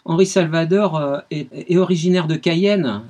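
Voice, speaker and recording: A man's voice: fairly high pitch, normal speed, a little mellow. A man giving a radio music history segment, telling the story with enthusiasm.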